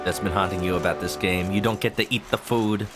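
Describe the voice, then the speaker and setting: A man's voice: low-pitched, steady tone, fairly quick. A young man streaming a video game, making casual, joking commentary as he plays. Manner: chatty, joking. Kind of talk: casual let's-play commentary. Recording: voice over game music.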